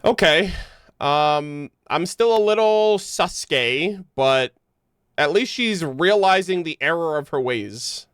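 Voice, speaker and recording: A man's voice: fairly high pitch, lively intonation, takes it slowly, a bit bright, clean tone, no echo. A man giving casual, slangy live reactions while playing a game, muttering asides on a close mic. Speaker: game streamer.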